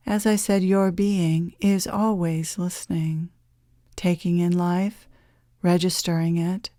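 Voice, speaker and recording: A woman leads a guided meditation in a soft-edged, mellow voice. She speaks very slowly and gently, leaving long, soothing pauses. The sound is dry, with very little room around it. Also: fairly low pitch, dry recording. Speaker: guided meditation leader, channeler.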